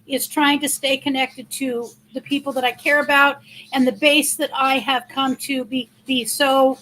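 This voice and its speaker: A middle-aged woman with a big, loud voice, hosting a casual livestream in a chatty, rambling way and thanking viewers by name. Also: sits high, flat delivery, measured pace, a little hiss or hum, low-quality recording. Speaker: livestream host.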